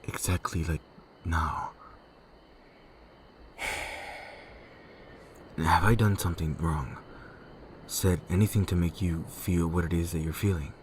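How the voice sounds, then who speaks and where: A man playing a reserved, courteous lord in an audio drama, speaking formally and haltingly. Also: sits low, fairly even intonation, a little mellow, a little hiss or hum. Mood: awkward, hesitant. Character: reserved, courteous male lord, awkward and hesitant in love. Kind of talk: fan audio drama acting.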